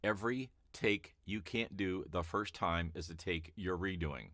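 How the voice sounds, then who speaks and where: Deep calm guy explaining voiceover stuff, laid back and chatty, dead dry sound. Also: unhurried, no room sound. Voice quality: calm, easy to listen to. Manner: relaxed and chatty, with fillers and restarts. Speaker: voice-over coach. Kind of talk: casual teaching vlog.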